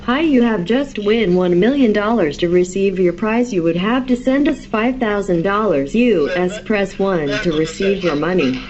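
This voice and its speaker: A woman's voice: medium pitch, moderate pace, hardly any pauses, darkish tone. Synthetic woman's automated announcement, slightly muffled. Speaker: automated phone message announcer. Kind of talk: scripted prize scam announcement. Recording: muffled playback with faint noise.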